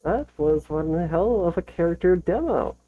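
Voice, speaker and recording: An excitable host giving casual, gushing fan commentary in a reaction video. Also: mid-range voice, moderate pace, phone-quality sound.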